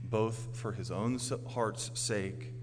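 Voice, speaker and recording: A man's voice: fairly low pitch, steady tone, slow pace, background noise. An American man preaching a sermon as a pastor, earnest and emphatic in delivery. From Orlando, Florida, United States. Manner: earnest, emphatic, swinging from forceful declarations to quieter storytelling. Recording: reverberant large hall.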